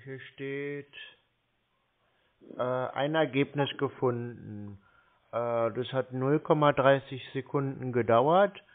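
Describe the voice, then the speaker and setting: Man on a telephone-quality line, mid-range voice, stalling and grumbling, slow on the uptake. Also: medium pitch. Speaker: scam baiter posing as a victim on a call. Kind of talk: phone conversation, stalling. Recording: narrowband telephone-like sound.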